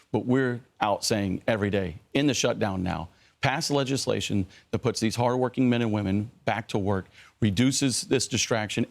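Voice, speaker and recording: A man's voice: mid-range voice, gravelly. A man speaking as a union president in a news interview, with an emphatic, polished spokesman's delivery.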